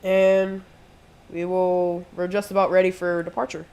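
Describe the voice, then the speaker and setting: A man's voice: sits high, completely flat delivery, measured pace, a little mellow, dull audio, slight background noise. A congested young man, sick with a throat infection, streaming from home and chatting through each step of a flight simulator session at his desk mic. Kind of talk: casual live play-by-play.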